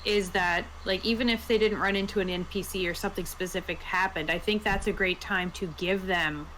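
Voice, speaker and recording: A woman's voice: mid-range voice, steady tone, brisk, fairly bright tone, slight background noise, poor audio. A woman panelist in a casual chat about tabletop roleplaying, speaking in an agreeable, informal way.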